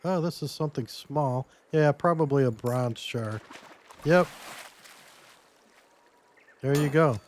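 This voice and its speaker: A man losing his voice a little, giving a relaxed step-by-step gameplay tutorial as commentary. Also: a little high, a little hiss or hum. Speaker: game guide creator.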